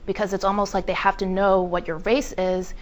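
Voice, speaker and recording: A woman's voice: mid-range voice, level tone, fairly quick. A woman giving thoughtful, explanatory interview answers.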